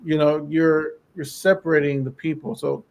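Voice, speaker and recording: A man in casual political chat on a podcast, losing his voice and clearing his throat as he talks in a rambling way. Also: fairly high pitch, little pitch movement, moderate pace, darkish tone. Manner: rambling, lots of fillers. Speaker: podcast co-host.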